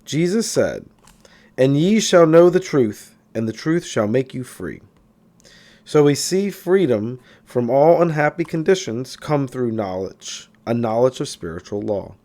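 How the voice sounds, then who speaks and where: A man reading a spiritual self-help book aloud in a measured, emphatic, instructive way. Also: medium pitch, animated, dark tone. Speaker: audiobook reader.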